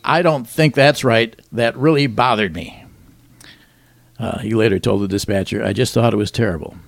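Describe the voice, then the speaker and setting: A middle-aged man co-hosting a talk show, reading news items with wry deadpan punchlines and chuckling at his own jokes. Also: lowish voice, normal speed, vocal fry, no echo. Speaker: radio show co-host. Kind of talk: joking news rundown.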